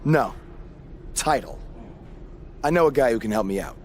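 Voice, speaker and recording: A man's voice: mid-range voice, expressive, moderate pace, a little mellow, slight background noise, lightly acted. An actor playing a defiant, cheeky young male pro wrestler in a video game cutscene.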